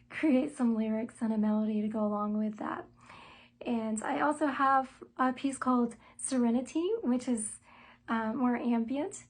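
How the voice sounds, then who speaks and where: A middle-aged woman with a light voice pitched a little above average. She talks through her musical journey and her album in an easygoing, conversational way. Her pace is measured, and she pauses often on small "um" and "uh" fillers. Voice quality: light, soprano-range voice. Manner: thoughtful and measured, with frequent "um" and "uh" pauses. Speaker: musician and songwriter interviewed about her album. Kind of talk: self-told interview answers, conversational.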